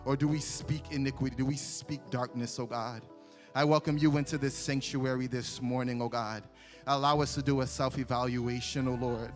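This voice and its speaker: A man's voice: medium pitch, steady tone, moderate pace, faint music behind. A man leading a fervent congregational prayer in a church sanctuary, punctuating each phrase with "oh God" and "oh Lord". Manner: repeats "oh God" between phrases, rising and falling intensity. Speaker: worship leader praying.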